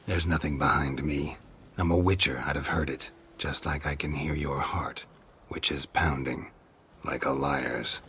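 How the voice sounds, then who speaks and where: An actor playing a gruff, middle-aged monster hunter in a video game, deadpan and sardonic, with a gravelly voice. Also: male voice, a bass voice, unhurried, a little hiss or hum. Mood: cold, accusing. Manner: deadpan, dry, terse.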